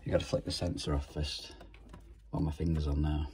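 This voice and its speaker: A man with a low, deep voice talks through a plumbing job as he does it, matter-of-fact and practical. He records on a phone up close, with tool clicks and background noise around him. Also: level tone, a little fast. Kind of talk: working vlog narration. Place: customer's home and inside his van.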